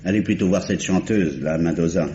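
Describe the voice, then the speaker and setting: An actor playing a dry, sceptical police inspector who speaks curtly and with irony, in an old radio drama. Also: male voice, low voice, brisk, lightly acted. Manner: curt, ironic. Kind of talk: 1950s radio drama acting. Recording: roomy, muffled old broadcast with hiss.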